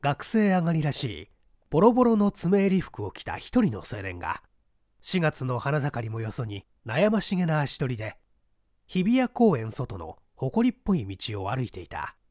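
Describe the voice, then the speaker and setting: A man narrating a mystery story aloud in a theatrical, expressive reading style. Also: mid-range voice, normal speed, no echo, studio quality. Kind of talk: scripted audiobook narration.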